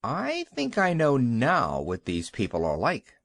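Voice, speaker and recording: A reader voicing a wise old wizard who speaks calmly and consolingly. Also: medium pitch, a little slow, creaky, no room sound, full character voice. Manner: consoling, wry.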